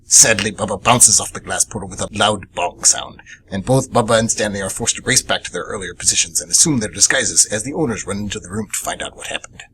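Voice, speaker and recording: A man's voice: lowish voice, quick pace, bright, forceful tone, slight background noise, rough recording. A man performing radio theatre as a vengeful, obsessed little monkey demon hunter, narrating with grave drama. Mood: brooding, vengeful.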